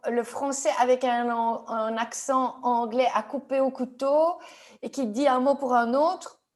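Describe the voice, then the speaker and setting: A woman with a notably high voice leads a tapping therapy session. She asks calm, patient questions one after another, drawing out her client's fears. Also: unhurried. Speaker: EFT practitioner.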